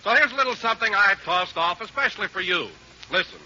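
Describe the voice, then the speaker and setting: A man's voice: sits high, animated, quick pace, pushed and bright, muffled recording. A man reading a folksy 1940s radio commercial as an announcer. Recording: band-limited old radio with faint noise.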